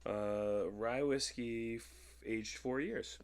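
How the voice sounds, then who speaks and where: A man's voice: mid-range voice, slow, clean tone. A man hosting a podcast in casual, jokey chat, swearing freely and repeating his punchlines. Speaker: podcast host.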